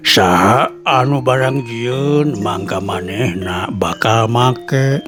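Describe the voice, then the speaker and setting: A male storyteller voicing a wise old hermit teacher who lectures his students in a slow, grave, sermonizing way. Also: mid-range voice, voice pushed down, close to an everyday voice. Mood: solemn. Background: faint music.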